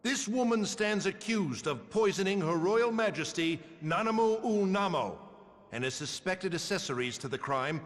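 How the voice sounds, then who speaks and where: Animated, smug pompous official. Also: male voice, high voice, moderate pace, big-room sound. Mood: declaiming. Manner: formal, declamatory. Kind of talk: theatrical video game voice acting.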